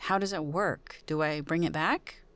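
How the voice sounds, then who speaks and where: Woman acting out wary ordinary woman, low voice, wry, sarcastic, skeptical, suspense audio drama. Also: a little slow, a bit bright, clear, resonant tone, no echo. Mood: wary, questioning.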